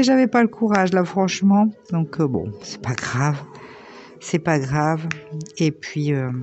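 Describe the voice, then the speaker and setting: A slightly hoarse woman in her thirties, chatting casually with lots of fillers as she works on a diamond painting at home. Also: lowish voice, darkish tone. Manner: chatty, rambling, many fillers. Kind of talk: casual chat while crafting.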